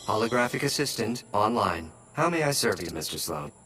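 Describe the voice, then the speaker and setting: A man voicing a polite holographic assistant in a video game, speaking in a formal, servile, measured way. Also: on the low side, very animated, a bit bright. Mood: polite, composed. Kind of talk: scripted video game acting. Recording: echoey, distant, light music.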